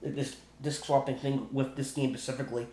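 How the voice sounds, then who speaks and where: A man's voice: mid-range voice, level tone, a little fast, dark tone. Rambling man in an echoey room. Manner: repetitive, stumbling, restarts sentences often. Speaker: gaming video creator. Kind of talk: rambling casual commentary on video game glitches. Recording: roomy, echoing home recording.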